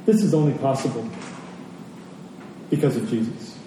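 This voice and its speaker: Mellow male preacher in an echoey hall. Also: big pitch swings. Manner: earnest, emphatic, pauses and repeats phrases for weight. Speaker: pastor preaching. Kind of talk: sermon. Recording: echoey hall, distant mic, faint rumbling noise.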